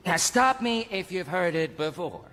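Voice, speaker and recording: High, swooping hipster alien judge. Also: male voice, lively intonation, moderate pace, fairly bright tone. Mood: breezy, swaggering. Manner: slangy American-style hipster patter. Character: brash, laid-back hipster alien judge, slangy and cocky. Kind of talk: radio comedy drama. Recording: long echoing hall, noisy ambience.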